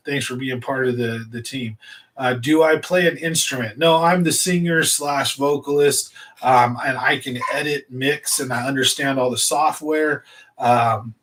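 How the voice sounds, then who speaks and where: A man talking loosely to his online audience, drifting from topic to topic with lots of ums and likes. His voice sits at an ordinary middle pitch for a man. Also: fairly bright tone. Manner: rambling, easygoing, lots of fillers. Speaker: fishing channel host. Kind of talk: casual livestream chat to viewers.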